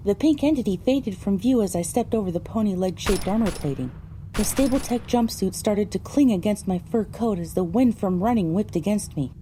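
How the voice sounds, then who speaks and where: A woman's voice: medium pitch, dark tone, a little hiss or hum, low-quality recording. A woman delivering scripted, first-person narration for an audio drama.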